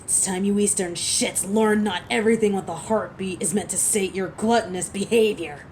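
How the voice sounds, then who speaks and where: A woman's voice: mid-range voice, steady tone, moderate pace, a little mellow, slight background noise, natural voice. A woman playing a defiant, hot-tempered, sarcastic female werewolf who protects her turf in an audio drama. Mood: defiant, menacing. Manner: sardonic, taunting. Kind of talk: dramatic audio roleplay acting.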